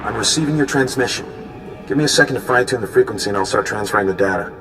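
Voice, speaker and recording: An actor playing an idealistic young resistance informant who talks in an earnest, friendly way. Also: male voice, medium pitch, very fast, over music, natural voice.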